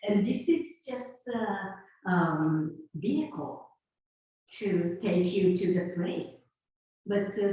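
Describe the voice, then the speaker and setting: A woman in her fifties who grew up in Japan and speaks English with a Japanese accent. She talks in an unhurried, halting way, with soft laughs between thoughts, as a guest in an interview. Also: medium pitch. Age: 50s. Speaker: guest, sound healer. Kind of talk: conversational interview. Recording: roomy echo, far from mic.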